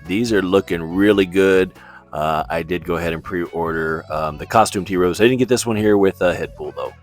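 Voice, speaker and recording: A man's voice: sits low, moderate pace, faint music behind. A man hosting a vlog, talking in a casual, chatty way with slangy, laid-back fan enthusiasm. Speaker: YouTube host reviewing movies and toys.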